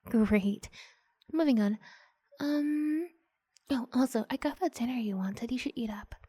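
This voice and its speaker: Woman playing a breathy, coaxing young vampire girl. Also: on the high side, no room sound, studio quality. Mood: playful, menacing. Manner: hushed, halting, often slipping into breathy whisper. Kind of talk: ASMR roleplay, improvised.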